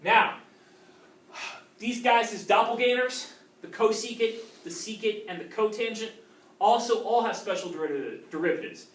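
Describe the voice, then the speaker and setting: Guy teaching calculus, kinda high voice, keeps saying okay?, picked up from across an echoey classroom. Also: normal speed, fairly bright tone, a little hiss or hum. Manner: checks in with frequent "okay?". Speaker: math teacher. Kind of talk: classroom lecture. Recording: roomy classroom audio from a distant camera.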